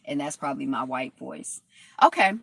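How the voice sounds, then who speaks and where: Gravelly, bright-voiced woman vlogger. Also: extremely low pitch, normal speed. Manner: rambling, reflective, with many fillers and restarts. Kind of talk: reading from a history text and commenting aloud.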